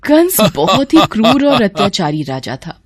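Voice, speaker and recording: A woman narrates a scripted devotional tale. Her voice sits at a medium pitch, in a storyteller's delivery. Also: sing-song, a little slow, slightly rough, dry recording, clean studio sound.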